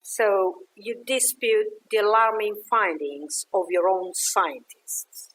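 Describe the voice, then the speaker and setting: A woman's voice: mid-range voice, slow, a bit bright, rough recording. A woman playing a challenging journalist in an audio drama, formal, pointed and insistent as she questions a politician. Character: firm, challenging female journalist interviewing a politician. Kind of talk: audio drama acting, news interview.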